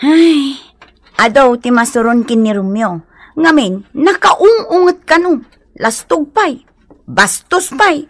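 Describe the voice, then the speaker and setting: Sensible, chiding Ilocano wife, close-sounding. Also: female voice, high voice, big pitch swings, slow, no room sound, exaggerated voice. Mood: chiding, reasonable. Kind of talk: radio drama acting.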